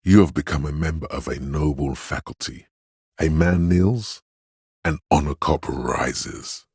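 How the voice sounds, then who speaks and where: A very deep, pompous authority. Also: male voice, darkish tone, breathy edge, no echo, studio quality, exaggerated voice. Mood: solemn praise. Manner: pompous, ceremonious, deliberate. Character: solemn, grandiose inner voice of authority exalting honour. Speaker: voice actor. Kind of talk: scripted game voice lines, theatrical narration.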